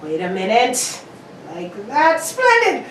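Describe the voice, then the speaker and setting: A high-pitched, brilliant detective played by a woman speaks in an exacting, theatrical radio-play manner. The voice comes from off the mic in an echoey room, with every phrase laid out precisely. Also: wildly swooping pitch, slow pace, a crowd in the background, full character voice. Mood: brisk, confident. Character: brilliant, exacting male detective, brisk and self-critical. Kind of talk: old-time radio drama acting. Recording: echoey room, distant mic, faint noise.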